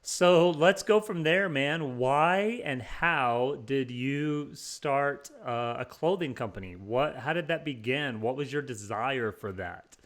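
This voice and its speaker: A man's voice: medium pitch, slow pace, hardly any pauses, fairly bright tone. A man hosting an interview show in a casual, upbeat way, laughing often and padding his talk with fillers. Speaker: podcast host.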